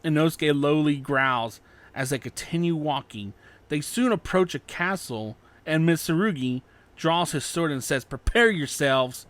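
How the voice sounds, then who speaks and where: A man's voice: a little high, measured pace. A man narrating a scripted fan fiction story, occasionally stumbling over his words as he reads.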